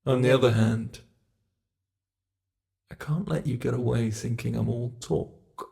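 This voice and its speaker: A man's voice: medium pitch, a little slow, creaky, dark tone. A man voicing an ancient male monster in an ASMR roleplay, a cruel and playful predator who taunts his prey and drops into close whispers. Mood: menacing whisper.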